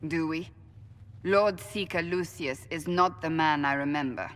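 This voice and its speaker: A woman's voice: deep voice, measured pace, a bit bright. Stern fantasy warrior lady, blunt and formal, big echoey hall, game dialogue. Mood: firm, wary. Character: stern, suspicious woman warrior of a fantasy order.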